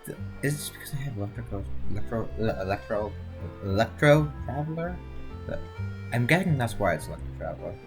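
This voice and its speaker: Very deep-voiced woman musing over a game. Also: animated, fairly quick. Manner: mumbling, musing aloud. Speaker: game streamer. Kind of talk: casual commentary over gameplay. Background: game music.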